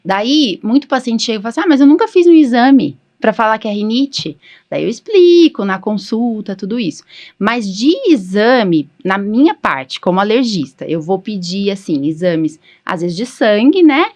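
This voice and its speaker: A woman with a Brazilian accent talks as a doctor and podcast host. She explains in an informal, conversational way, often seeking agreement as she goes. Her pitch sits in an average range for a woman. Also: sing-song, moderate pace, a little mellow.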